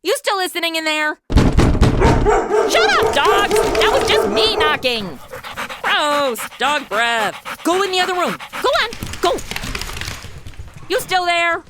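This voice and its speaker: A woman playing a brash, excitable, chatty young woman who hosts a comedy audio drama. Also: very high-pitched, takes it slowly, pauses often, heavy background noise, full character voice. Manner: exclamatory, chatty, teasing. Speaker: show's lead and host.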